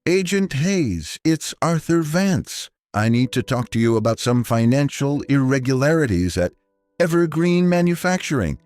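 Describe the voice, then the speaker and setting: A man playing a principled, steady father and long-serving employee, firm and restrained, in a narrated story. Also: medium pitch, normal speed, no echo, faint music behind, voice pushed up, close to an everyday voice. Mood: resolute.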